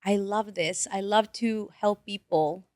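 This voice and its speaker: A woman's voice: medium pitch, unhurried, no echo. Earnest woman from Peru, Spanish native. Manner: earnest, animated storytelling with restarts. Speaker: financial advisor guest. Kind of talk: casual interview talk.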